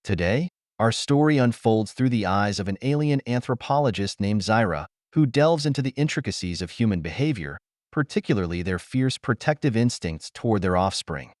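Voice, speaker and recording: Low-pitched man narrating with lively intonation. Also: moderate pace, no room sound, studio quality. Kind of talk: scripted science fiction audiobook narration.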